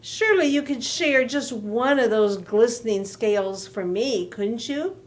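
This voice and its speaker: A timid little blue fish from a children's story, as a woman reading aloud puts him on. His high, small voice drags slowly and hesitantly as he politely pleads. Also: expressive.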